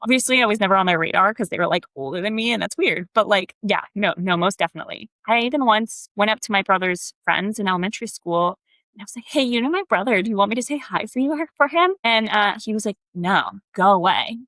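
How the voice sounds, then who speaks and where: A bubbly young woman from Texas chatting on a podcast, her talk peppered with "like" and loose restarts. Her voice sits at a middle pitch and sounds close and dry. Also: animated, fast, a bit bright, no echo. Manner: chatty, bubbly, lots of "like" fillers. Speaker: podcast co-host. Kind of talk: casual chat.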